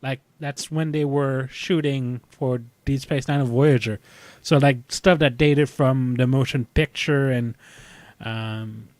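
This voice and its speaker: A man in a casual fan chat, speaking haltingly and often repeating words as he goes. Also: medium pitch, fairly even intonation, darkish tone, dry recording. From Canada. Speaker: podcast panelist.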